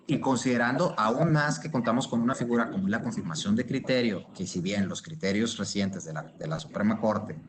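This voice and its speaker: A Mexican man with a fairly low voice explains his view as an expert panelist. He builds his points informally, restarting phrases and adding short questioning tags. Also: a little fast, few pauses, a crowd in the background. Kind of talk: informal legal commentary.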